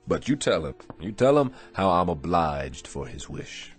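A man's voice: low voice, big pitch swings, measured pace. A man playing a battered, weary aging boxer in a radio drama, plainspoken and wistful with an American accent. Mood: humble, sad. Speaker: actor. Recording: radio drama with music underneath.

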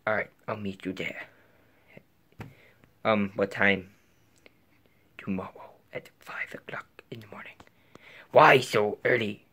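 A man's voice: fairly low pitch, slow, pauses often, pushed and bright, slightly rough. A young man in a bedroom voicing several plush toys in an improvised skit, often dropping to a whisper. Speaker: plush puppeteer voicing toy figures. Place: bedroom floor and desk, indoors.

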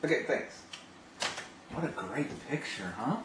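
A man's voice: mid-range voice, animated, a little slow, a bit bright. A middle-aged man chatting casually and gushing with enthusiasm as he demonstrates a product and talks on a call. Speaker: video phone sales representative. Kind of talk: casual demonstration and phone chat. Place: small home office, indoors. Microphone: camera a few steps away. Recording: roomy, distant camera mic with hiss.

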